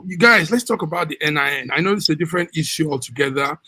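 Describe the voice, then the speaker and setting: Bright-voiced Nigerian man hosting. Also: fairly high pitch, fast, husky, no echo. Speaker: discussion host. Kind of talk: moderating a panel discussion.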